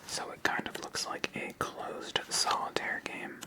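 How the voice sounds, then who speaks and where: A host of unclear sex speaks entirely in a whisper, in the style of an ASMR tutorial. The pace is very slow and unhurried. Each step is explained softly and patiently, one after another. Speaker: host of a card game channel.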